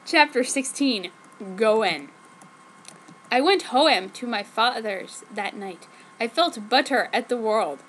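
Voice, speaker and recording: A woman's voice: a little high, animated, slow pace, fairly bright tone, slight background noise, low-quality recording. A woman giving a dramatic reading of amateur fan fiction in a theatrical manner, stumbling over words. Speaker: reader.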